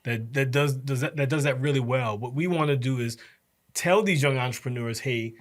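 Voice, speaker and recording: Halting, explaining man in his forties. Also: medium pitch. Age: 40s. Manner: explains step by step, halting with frequent um and uh. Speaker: program director, guest. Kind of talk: casual podcast interview.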